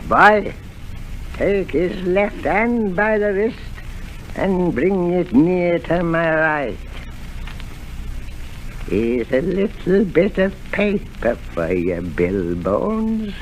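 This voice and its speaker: An actor playing a sinister, mocking blind old beggar pirate in a 1930s radio drama. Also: male voice, high-pitched, sing-song, slight background noise, heavily acted, poor audio. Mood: menacing. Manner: slow, menacing, taunting.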